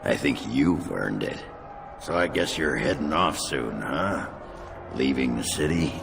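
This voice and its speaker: A crude, jovial dwarf fighter from a fantasy game who loves his drink. The voice is extremely low and full of gravel, unhurried and dragging, over loud music. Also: expressive, exaggerated voice. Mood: gruff, jovial. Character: gruff, hard-drinking male dwarf warrior, crude and jovial. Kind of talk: video game character dialogue.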